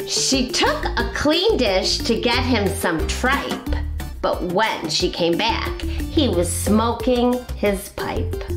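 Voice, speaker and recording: Animated, high-voiced woman reading to children. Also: reverberant. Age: middle-aged. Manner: expressive, deliberate, explains words for young listeners. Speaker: children's storytime host. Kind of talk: read-aloud picture-book storytelling for children. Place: small indoor classroom-style set.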